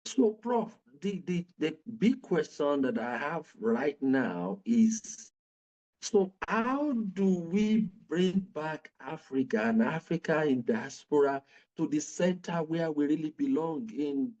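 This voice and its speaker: A man's voice: a little high, lively intonation, slow pace, darkish tone. A man interviewing a guest, reacting with frequent affirming murmurs. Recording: dull lo-fi sound.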